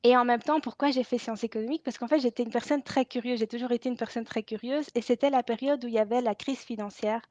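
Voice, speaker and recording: Woman, fairly high voice, hesitant live-stream chat, dull dry audio. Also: steady tone, fast, hardly any pauses, a little mellow, no room sound. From Belgium. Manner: hesitant, many fillers and restarts, frequent laughter. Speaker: laughter yoga host. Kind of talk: casual live stream talk. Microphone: added microphone.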